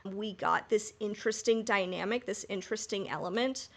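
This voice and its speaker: A woman's voice: mid-range voice, normal speed. A woman co-hosting a podcast in casual fan discussion, speaking candidly and emphatically, with the odd casual swear. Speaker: podcast host.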